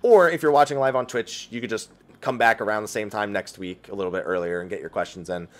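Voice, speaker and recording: A man hosting his weekly Q&A stream, chatting casually and rambling with lots of fillers and restarts, his voice slightly raspy from talking a long time. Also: on the low side, fast talker. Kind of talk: casual chat.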